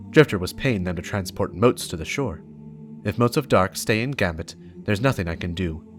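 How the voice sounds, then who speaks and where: A man's voice: lowish voice, close to an everyday voice. A man performing a stern, driven investigator who dictates clipped, hard-boiled field reports in a dramatic reading of game lore. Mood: grim, resolute. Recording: music behind the voice.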